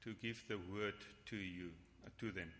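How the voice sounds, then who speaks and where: A man whose first language is Afrikaans leads a church Bible study and prayer, speaking haltingly with frequent restarts. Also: fairly low pitch, fairly even intonation, fairly bright tone. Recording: long hall reverb.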